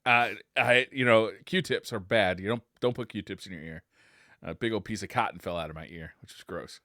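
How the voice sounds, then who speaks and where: A man co-hosting a podcast in casual chat, joking and swearing freely in an animated way. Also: lowish voice, normal speed, dry recording, clean studio sound. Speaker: podcast co-host.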